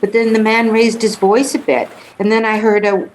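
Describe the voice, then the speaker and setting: A woman playing a timid, flustered passenger in a radio play, speaking haltingly. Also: fairly high pitch, little pitch movement, a little hiss or hum, close to an everyday voice, rough recording. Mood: flustered, hesitant. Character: timid, hesitant female boat passenger.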